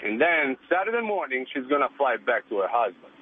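A man's voice: mid-range voice, sing-song, brisk, husky. A man from Italy with an Italian accent, calling into a radio talk show and chatting casually and eagerly. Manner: chatty, eager, laughs easily. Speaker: caller. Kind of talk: casual call-in chat. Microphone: telephone. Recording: phone line.

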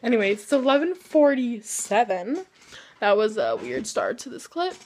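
A woman's voice: a little high, big pitch swings, normal speed, darkish tone, a little hiss or hum. Giggly teenage girl vlogging on her phone. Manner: giggly, loose and slangy, lots of fillers. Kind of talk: casual vlog chat. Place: outdoors in a cold yard, then a restaurant, then a bedroom. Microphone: phone or webcam held close.

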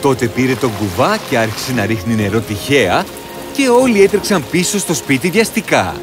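Male fairy-tale narrator, mid pitch, extremely lively delivery, faint music behind. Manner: dramatic, highly expressive storytelling. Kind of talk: scripted storybook narration.

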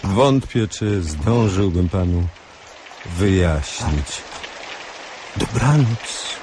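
An actor in a radio play playing a polite, halting, apologetic but menacing killer. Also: male voice, deep voice, lively intonation, full character voice. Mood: cold, slow. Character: polite, hesitant, menacing male killer confronting his victim. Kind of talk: radio drama acting. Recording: noisy recording with rain. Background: rain.

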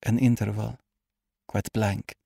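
A man voicing a terrified, haunted aristocratic lady in a tremulous, breathy, dramatic manner for a storybook. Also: fairly low pitch, flat delivery, slow pace, warm tone, no echo, clean studio sound, pitched above the performer's own voice, heavily acted. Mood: frenzied dread.

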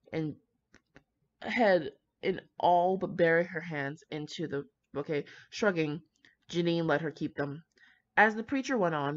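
A woman's voice: low-pitched, muffled recording. A young woman reading fan fiction aloud, with brash, slangy, profane casual commentary.

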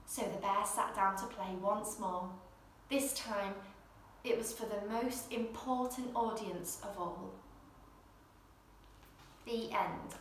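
A young woman presenting and reading a children's storybook in an expressive, gentle bedtime style. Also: fairly high pitch, slight background noise. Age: young adult. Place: indoor room at home, seated in armchair. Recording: very echoey room.

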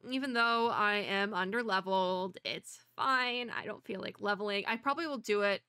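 A young woman rambles cheerfully while she plays, her voice bright and clear. Her nose sounds stuffy, and she talks in a loose, giggly way into a desk mic. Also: medium pitch, normal speed, no room sound, studio quality. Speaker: streamer. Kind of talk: casual gameplay commentary.

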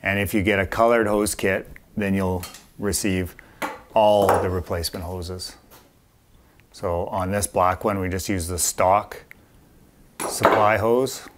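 A middle-aged man in a workshop, casually walking viewers through a motorbike part install, plain-spoken with a few fillers. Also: lowish voice. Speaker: parts company host demonstrating an install. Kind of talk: casual how-to walkthrough.

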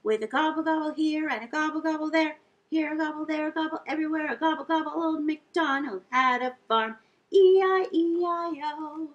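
A middle-aged woman hosting a sing-along storytime for young children, animated and encouraging as she addresses them directly. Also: high voice, normal speed, lo-fi sound. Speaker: children's library storytime host. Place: indoor lounge with couch and fireplace.

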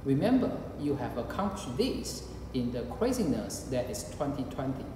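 Lively man at a podium, echoey hall. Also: mid-range voice, moderate pace. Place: indoors at a podium before a backdrop. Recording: echoey hall with room noise.

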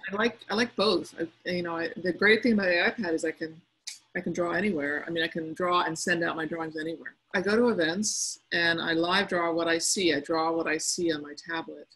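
A middle-aged woman with a quiet, soft-spoken manner, speaking over a video conference call. Her voice has a gravelly edge and a husky grain. She talks casually and thoughtfully, pausing often to gather her words. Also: low-pitched, poor audio. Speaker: cartoonist speaking to young listeners. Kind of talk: casual reflective talk answering questions.

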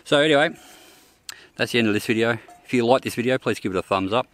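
A man's voice: medium pitch, quick pace, fairly bright tone. An older Australian man reviewing camping cookware outdoors in the bush, talking plainly with frequent ums and restarts. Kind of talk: casual gear review vlog. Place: outdoors in open bushland.

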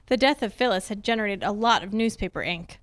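A woman's voice: mid-range voice, a little fast, close to an everyday voice. A woman acting a composed female detective who narrates her own case in an old-time radio play. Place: theatre stage, live performance.

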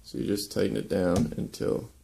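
A young man casually demonstrating how to lash boat joints, talking in a relaxed, halting way with many ums and uhs. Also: low-pitched, vocal fry. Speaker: woodworking instructor. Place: indoor workshop.

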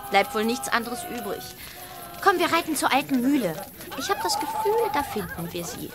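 A caring teenage farm girl in a children's radio play. She sounds youthful and earnest, fairly high and very lively in pitch, over clear background music. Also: normal speed, a crowd in the background. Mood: concerned. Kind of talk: children's audio drama acting.

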